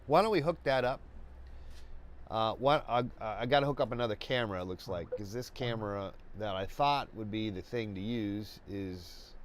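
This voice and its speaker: A man hosting a live painting webcast, congested and stuffy, talking in a slow, rambling way with many restarts and fillers. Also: on the low side, slight background noise. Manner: halting, many restarts and fillers, laid-back. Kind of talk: rambling live-stream chat.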